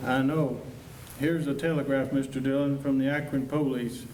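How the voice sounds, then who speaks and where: A man's voice: mid-range voice, fairly even intonation, normal speed, darkish tone, natural voice. A man playing a loyal young marshal's assistant in a staged radio western, folksy, earnest and protective. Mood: subdued. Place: high school auditorium stage. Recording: echoey auditorium, distant mic, faint noise.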